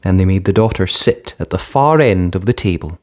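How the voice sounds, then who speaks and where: A man presenting and narrating a children's fairytale in an expressive read-aloud style. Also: sits low, moderate pace, a little hiss or hum. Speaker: storybook narrator and channel presenter.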